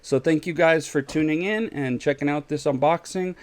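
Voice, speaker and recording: A man's voice: fairly high pitch, moderate pace, a little mellow, no room sound. A man chatting casually as a podcast host, informal and a little hesitant. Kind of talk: casual chat.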